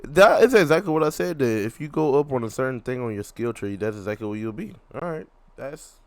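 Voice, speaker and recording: A man's voice: medium pitch, lively intonation, a little fast, dry recording. A man giving casual, slangy reaction commentary as a gaming host, often repeating his phrases. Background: music from the video.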